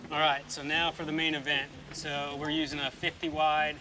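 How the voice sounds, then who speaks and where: A man's voice: on the high side, level tone, normal speed, noisy background. Matter-of-fact male fishing guide. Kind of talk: casual on-boat explanation. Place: open boat at sea, outdoors.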